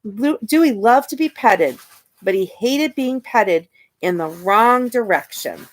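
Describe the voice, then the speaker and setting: A woman reading a children's picture book aloud expressively, pausing to ask her young listeners questions and point things out. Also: mid-range voice, animated, low-quality recording. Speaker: storytime reader and teacher.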